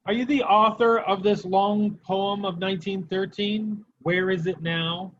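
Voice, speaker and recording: A man's voice: high voice, steady tone, unhurried. A man playing a stern, sardonic Soviet prosecutor in an amateur dramatic reading, questioning formally and curtly. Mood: sternly sardonic. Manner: formal, clipped interrogation. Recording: dull, muffled lo-fi recording.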